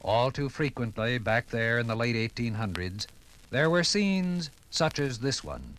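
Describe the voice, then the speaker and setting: A man giving scripted 1950s radio documentary narration with formal, deliberate, dramatic phrasing. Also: medium pitch, lively intonation, a bit bright, rough recording.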